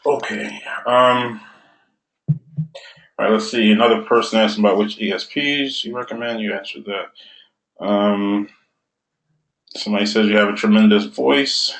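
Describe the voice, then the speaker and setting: A man hosting a live webinar Q&A, casually reading audience questions aloud with pauses between them. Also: fairly low pitch, fairly even intonation, fairly bright tone. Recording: roomy echoey sound.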